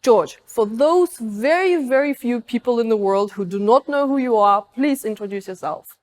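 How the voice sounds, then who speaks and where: A woman's voice: a little high, animated, normal speed. Upbeat woman hosting an interview. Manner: upbeat and complimentary. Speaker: interviewer, host.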